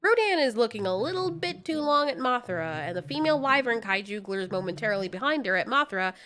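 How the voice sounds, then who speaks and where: A woman reads scripted fiction as the storyteller. Her voice is fairly high, with lively rises and falls across the narration. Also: a little fast.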